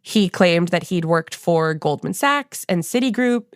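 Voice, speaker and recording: A woman's voice: lowish voice, expressive, unhurried, no echo, clean studio sound. An American woman from Long Island, a podcast producer, telling a reported story in a conversational narrative style. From Long Island, New York, United States.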